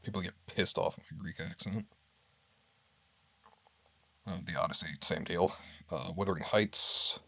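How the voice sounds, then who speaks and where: A man's voice: sits low, unhurried, gravelly, pauses often, pushed and bright. A man streaming from home, chatting casually with his viewers in a candid, wry way. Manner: candid, wry, reads list items aloud and comments.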